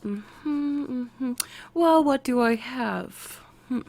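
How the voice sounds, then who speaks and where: A woman with an American accent voicing an eccentric, excitable woman scientist playing therapist in an ASMR roleplay, with quirky, halting delivery. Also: high-pitched, full character voice. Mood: quirky, welcoming. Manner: quirky, halting, drawn-out delivery with sudden swoops. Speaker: roleplay voice performer. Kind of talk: ASMR character roleplay, speaking to the listener.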